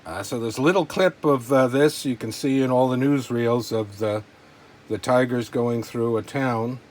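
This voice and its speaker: A man's voice: medium pitch, moderate pace, darkish tone. A man giving a casual, unscripted show-and-tell as a collector, talking in an informal, rambling and enthusiastic way. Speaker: collector presenting models and memorabilia. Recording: clear background noise.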